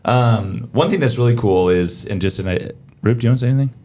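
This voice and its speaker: A man with a raspy voice, co-hosting a podcast in relaxed, joking chat. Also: mid-range voice, quick pace. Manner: easygoing, joking, trails off mid-sentence. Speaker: podcast co-host. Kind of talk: casual chat.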